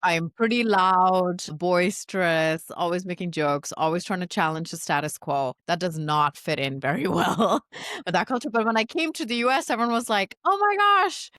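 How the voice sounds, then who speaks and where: A woman from India telling her story casually as a podcast guest, in a chatty, animated manner. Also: lowish voice, normal speed, no room sound, clean studio sound. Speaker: podcast guest, consultancy founder.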